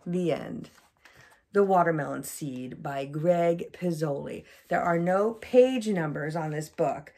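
A woman's voice: sits low, slow pace, dark tone. A middle-aged woman chatting informally to a child on a home video before and after reading aloud. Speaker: parent volunteer reading aloud. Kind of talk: casual chat to a child on video. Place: small furnished room at home, seated on sofa.

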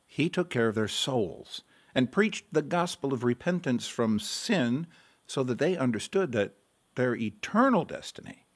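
Bible-study pastor: mid-pitched, expressive, patient yet firm, radio drama. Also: male voice, unhurried. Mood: patient teaching. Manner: instructive, emphatic.

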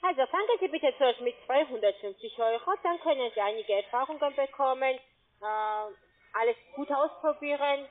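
High-voiced woman in broken German, over a phone. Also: expressive. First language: not German. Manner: halting, broken German, brief laughs. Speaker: scam call center agent. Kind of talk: phone sales pitch. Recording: tinny phone line.

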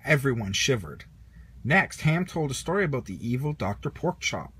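Animated husky man reading a storybook. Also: mid-range voice, moderate pace. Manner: animated, puts on character lines. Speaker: storybook reader. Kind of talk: read-aloud children's story narration.

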